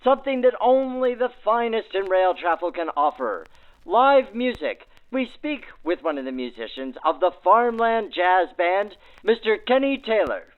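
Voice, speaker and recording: A man's voice: sits high, big pitch swings, moderate pace. A man hosting with formal, florid announcer phrasing in the style of 1940s radio, through a vintage radio filter. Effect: backdated 1940s radio filter. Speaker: host, interviewer. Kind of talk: scripted 1940s radio-style narration and interviews. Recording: thin, tinny vintage radio sound.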